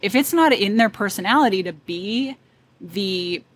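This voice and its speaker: Female guest, middle-pitched, upbeat and confident, quick laughs, light noise behind. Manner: animated, laughs often, loud confident delivery. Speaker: wedding photographer guest. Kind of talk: casual conversational interview.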